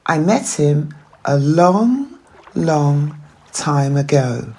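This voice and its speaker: A big father monster from a children's tale, acted by a woman. He warns in a very slow, storytelling way, with dramatic swings of pitch. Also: sing-song, dark tone, husky, full character voice. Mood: warning. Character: cautionary Gruffalo father, big male monster.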